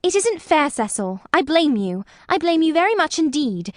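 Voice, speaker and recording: A woman's voice: sits high, sing-song, moderate pace, dry recording, clean studio sound. A woman reading an audiobook, voicing a proper young woman who speaks in a clipped, reproachful way. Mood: indignant. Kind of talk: audiobook character voice.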